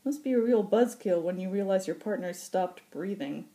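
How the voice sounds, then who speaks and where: Salty old space pilot for audiobook, played by a woman: small, lecherous, teasing, voice lifted high and loud. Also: lively intonation, normal speed, close to an everyday voice. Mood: lewd, teasing.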